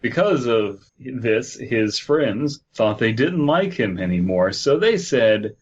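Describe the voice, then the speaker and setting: A man with a middle-pitched voice hosts a casual podcast, bantering and reading aloud. The audio is slightly dull and muffled. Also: animated, takes it slowly, a little hiss or hum. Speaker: podcast host.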